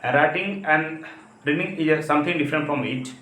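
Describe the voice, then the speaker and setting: A man from India with an Indian accent and Hindi as his first language, giving an explanatory English lesson as a teacher, with halting, repetitive phrasing. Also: on the high side, fairly bright tone. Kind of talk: spoken lesson, explanatory talk. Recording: echoey, roomy sound.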